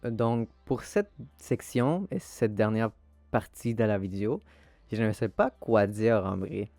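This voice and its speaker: Young man with a mellow voice. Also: on the low side, slow, vocal fry, no echo, soft background music. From abroad, immigrated to Canada. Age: young adult. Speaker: art school applicant presenting himself. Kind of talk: scripted personal video narration.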